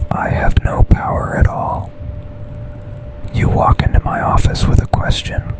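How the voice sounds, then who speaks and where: Guy doing an asmr boyfriend boss, all whispered and super slow, intimate, smitten, tender. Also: close to an everyday voice, low-quality recording. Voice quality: breathy whisper. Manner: slow, intimate, deliberate delivery with pauses. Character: smitten male office boss, secret lover, intense and tender.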